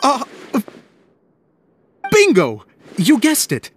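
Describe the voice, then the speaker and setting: A woman voicing a gentle, sentimental director character in a video game, earnest and courteous in manner. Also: high-pitched, huge pitch swings, drawn-out words, heavy background noise, exaggerated voice. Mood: playful surprise. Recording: game music under the voice.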